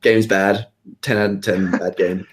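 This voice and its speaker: A man's voice: low-pitched, steady tone, measured pace. A man on a podcast panel, bantering casually about video games.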